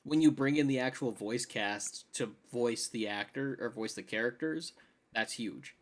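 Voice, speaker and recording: A man's voice: mid-range voice, a little slow. A man talks as a podcast co-host in a mid-range voice. His delivery is conversational and emphatic, full of casual slang such as "dude" and "like". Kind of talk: casual chat about games and films.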